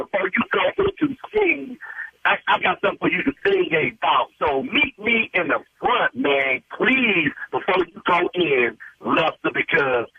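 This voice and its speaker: A man's voice: a little high, husky. An American man in a heated phone conversation, exasperated and peppering his talk with "man", over a tinny phone line. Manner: exasperated, repeatedly says "man". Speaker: prank call target. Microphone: telephone.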